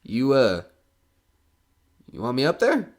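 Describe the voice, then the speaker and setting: A man's voice: medium pitch, huge pitch swings, slow. A man playing a nervous, skeptical broadcaster alone in a haunted house in an audio horror drama, rambling and joking anxiously. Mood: nervous, chatty.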